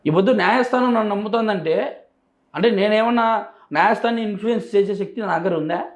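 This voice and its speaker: Male interviewee, Rayalaseema Telugu accent, high voice, emphatic and repetitive delivery, roomy echo. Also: fast. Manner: emphatic, repeats phrases for emphasis. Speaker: interview guest. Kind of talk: answering interview questions. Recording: echoey, roomy sound.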